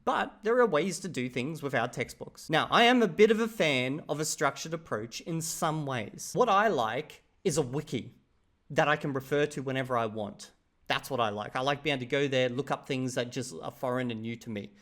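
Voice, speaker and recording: An Australian man hosting his channel, giving relaxed, opinionated commentary on another creator's video. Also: medium pitch, normal speed. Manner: relaxed, opinionated, chatty asides. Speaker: channel host reviewing a video. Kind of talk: casual commentary.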